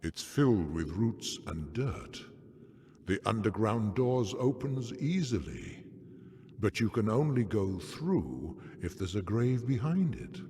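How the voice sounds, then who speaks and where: An actor playing a sly, detached enforcer of fate in a video game, speaking in measured, theatrical riddles. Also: male voice, lowish voice, animated, mellow. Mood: cryptic, teasing. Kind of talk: video game cutscene acting. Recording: long hall reverb.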